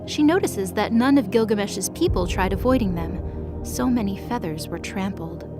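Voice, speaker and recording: A woman narrates a dramatic story in a mid-range voice. She reads scripted prose as the narrator, with music clearly playing behind her. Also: medium pitch, lively intonation, normal speed.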